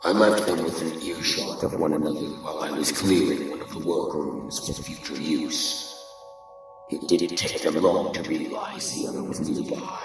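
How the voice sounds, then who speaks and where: Woman as male skeleton scientist, very deep, gravelly, clinical and deliberate, cold comic dub narration, cavernous echo. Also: fairly even intonation. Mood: clinical, troubled. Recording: cavernous long reverb, distant, under music.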